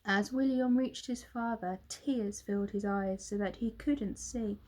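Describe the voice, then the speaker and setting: A woman narrating a children's storybook in a dramatic, expressive way. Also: mid-range voice, unhurried, rough recording. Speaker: storybook reader, teacher.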